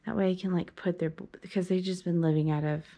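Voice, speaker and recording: A woman's voice: lowish voice, steady tone, brisk, warm tone. A young woman vlogging casually at home, rambling with many fillers and restarts, filmed on a phone held at arm's length in a small playroom. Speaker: mom vlogger. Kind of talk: casual chat. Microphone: handheld phone camera at arm's length.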